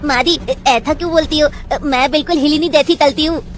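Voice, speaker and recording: A woman's voice: high voice, fairly quick, soft background music, close to an everyday voice. A woman voicing a naive young bride who lisps and hesitates, in a cartoon story. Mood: innocent. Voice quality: lisping, childlike speech. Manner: hesitant, with fillers. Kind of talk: cartoon voice acting.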